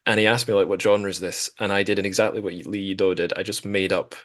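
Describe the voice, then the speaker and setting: Deep-voiced man in casual book chat. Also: fairly even intonation, quick pace. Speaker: podcast host. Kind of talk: casual literary discussion.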